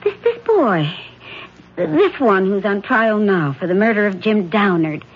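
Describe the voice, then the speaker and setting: A woman playing a frightened middle-aged wife of a judge in a 1970s radio drama, talking in an anxious, halting, stammering way. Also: medium pitch, animated, breathy edge. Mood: frightened, pleading. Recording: dull old radio broadcast.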